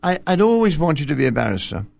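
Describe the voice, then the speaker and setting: An older man from Scotland, a retired bishop, talking gently and self-deprecatingly as a studio interview guest, with fillers and pauses. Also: on the high side, sing-song, vocal fry. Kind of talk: relaxed interview conversation. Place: television studio.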